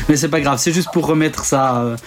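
A man's voice: on the high side, moderate pace. A man in his forties from Switzerland whose first language is Italian, a DJ and producer answering interview questions casually, hesitating often with ums and restarts. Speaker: DJ and producer guest.

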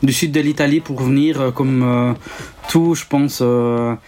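A Swiss-raised man whose mother tongue is Italian, speaking at a middling pitch. He talks casually and hesitantly, filling gaps with ums and restarting his sentences as a guest on a radio chat. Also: fairly even intonation, measured pace, a little mellow. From Switzerland. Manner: hesitant, many ums and restarts, laughs often. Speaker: DJ and producer guest. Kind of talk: casual interview answers.

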